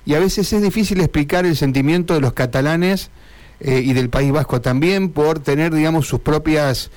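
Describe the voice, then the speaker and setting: A man's voice: fairly high pitch, normal speed, darkish tone. A man with an Argentine accent, a radio host interviewing a guest, often searching for words.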